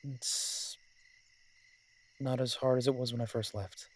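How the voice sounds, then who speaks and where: A man playing a lovesick, wry mafia boss who rambles haltingly in an intimate audio drama voice message. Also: medium pitch, fairly even intonation, lightly acted. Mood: wistful, tender. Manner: halting, rambling, drawn-out pauses, occasionally swearing.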